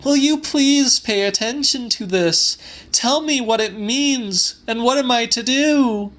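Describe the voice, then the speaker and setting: A man's voice: very high voice, slow pace, fairly bright tone, pitched above the performer's own voice, heavily acted. A man voicing an earnest young woman in love in an audiobook, imploring and emphatic.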